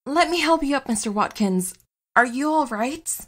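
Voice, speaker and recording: A woman's voice: high voice, lively intonation, normal speed. A woman playing a sensible, caring superheroine wife with a practical, conversational manner in a comedy audio drama.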